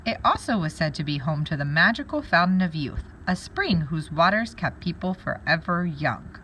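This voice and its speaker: Low-voiced woman teacher reading aloud, lively intonation. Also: slight background noise, rough recording. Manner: pauses to wonder aloud and explain words to pupils. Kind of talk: read-aloud lesson with think-aloud comments.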